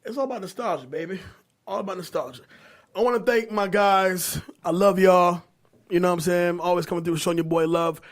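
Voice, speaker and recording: High-voiced man hosting a podcast. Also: a little fast. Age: about 30. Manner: slangy, swearing. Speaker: podcast host. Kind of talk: casual hype podcast banter and plugs.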